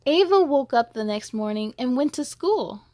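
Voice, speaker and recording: A woman's voice: high voice, animated, moderate pace, darkish tone, no room sound, clean studio sound. A woman hosting a children's story time, reading aloud expressively and speaking to young listeners in an encouraging way.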